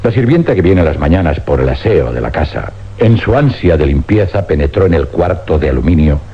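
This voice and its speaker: Deep, grave, weary older scientist. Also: male voice, lively intonation. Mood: dark, resigned. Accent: Spanish, from Spain. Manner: grave, deliberate, dramatic pauses. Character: weary older scientist confessing an uncanny experiment. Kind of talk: suspense radio drama acting. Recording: dull, noisy old radio recording with hum.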